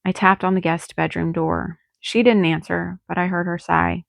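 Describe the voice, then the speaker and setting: A woman's voice: lowish voice, level tone, moderate pace, gravelly, dry recording, studio quality. A woman narrating a first-person novel as an audiobook, reading expressively and acting out the drama. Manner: dramatic, acts out dialogue. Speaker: author reading own novel.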